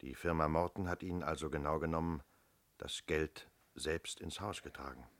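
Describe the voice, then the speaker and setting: Casting: police inspector, radio crime play; very deep bass, shrewd, methodical, sure of himself. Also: male voice, fairly even intonation, normal speed, a bit bright. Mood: probing, firm. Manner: methodical, firm, explanatory. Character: shrewd, self-assured male police inspector. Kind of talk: German radio crime drama acting.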